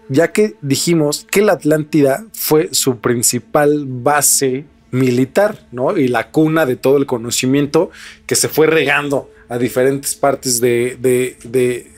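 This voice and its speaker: A man with a Mexican accent explaining things as a podcast host, repeating phrases for emphasis and pausing to find words. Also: a little high.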